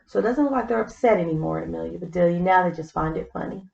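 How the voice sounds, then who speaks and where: A woman's voice: lowish voice, quick pace, vocal fry, darkish tone, echoey room, lo-fi sound, a little hiss or hum. A woman reading a children's story aloud, with explanatory asides to young listeners. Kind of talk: scripted storybook narration with simple asides.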